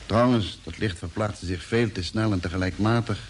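A man's voice: lowish voice, moderate pace, close to an everyday voice. An actor playing a sceptical, authoritative space crewman with a precise, matter-of-fact delivery in mid-century radio drama style. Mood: sceptical, dismissive. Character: Mitch, sceptical, authoritative male space engineer. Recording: noisy old radio play recording.

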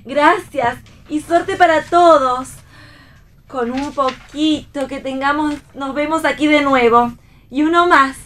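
An actress playing a stubborn pregnant young wife in read radio theatre, firm and insistent. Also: female voice, sits high, takes it slowly, fairly bright tone, slight background noise. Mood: tender.

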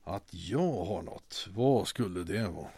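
A man acting a hesitant, understated, deadpan character with a secret past in an audio drama. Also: deep voice, very noisy. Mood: wary, dry.

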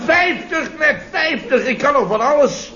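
A man's voice: extremely high pitch, expressive, a little slow, sharp tone, a faint crowd, heavily acted. An actor playing a boastful, indignant contractor in his fifties in a radio comedy, repeating himself and exclaiming self-importantly. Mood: boastful, blustering. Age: 50s. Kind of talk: 1970s radio comedy acting. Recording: dull vintage radio recording.

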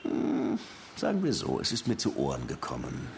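An actor playing a dogged, lovestruck police detective in a German radio crime drama, wry and slangy. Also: male voice, very deep voice, wildly swooping pitch, normal speed, gravelly, darkish tone, slight background noise, full character voice. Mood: brisk, confident.

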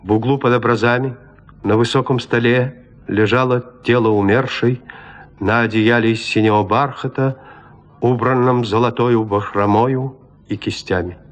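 Composed male narrator, medium pitch. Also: steady tone, highs cut off. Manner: measured, composed storytelling. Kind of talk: scripted literary narration in an audio drama.

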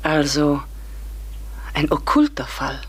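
An actress playing an earnest, unsettled schoolteacher, both narrating and speaking in a German radio drama. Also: female voice, low voice, sing-song, slow pace, breathy edge, exaggerated voice, low-quality recording. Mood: probing. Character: earnest, unsettled woman schoolteacher telling her strange story. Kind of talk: German radio drama acting, first-person narration and dialogue.

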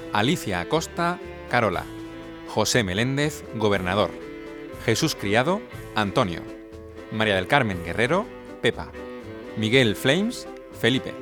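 A man reading scripted credits and announcements as an announcer. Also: lowish voice. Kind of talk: scripted narration. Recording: clear music bed behind.

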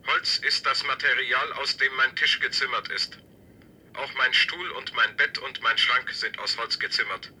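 An actor playing a possessive, menacing camp inmate in a radio drama, reciting short simple sentences in a rigid, repetitive way. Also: male voice, medium pitch, steady tone, moderate pace, bright, forceful tone, husky.

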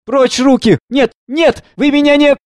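Guy putting on desperate crazed girl in a play, way high, loud and insistent. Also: moderate pace, no room sound, clean studio sound, close to an everyday voice. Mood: panicked. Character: crazed, frightened young woman, lost and desperate.

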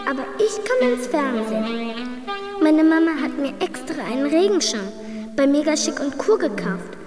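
A woman's voice: high-pitched, sing-song, normal speed. A woman playing a taunting, boastful young girl in a dreamlike children's radio play. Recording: long echoing reverb over faint music.

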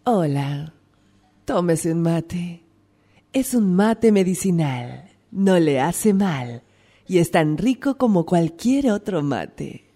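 Radio drama: actress as soothing female herbal healer, dark-toned, lingering, melodic delivery. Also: fairly low pitch, lively intonation, slow pace, breathy edge, full character voice. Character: soothing woman offering a herbal remedy.

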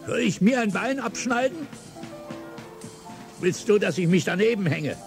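A bitter old revolutionary broods and raves in a radio play. His pitch swings widely and he lingers slowly over each phrase. Music plays underneath. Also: expressive, gravelly, heavily acted. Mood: bitter raving. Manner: declamatory, swinging between mutters and outbursts.

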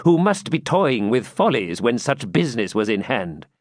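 Man playing shrewd royal counsellor, animated delivery. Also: medium pitch, normal speed, dry recording, studio quality. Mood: scornful. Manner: formal archaic courtly speech. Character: shrewd, controlled male counsellor to a medieval prince.

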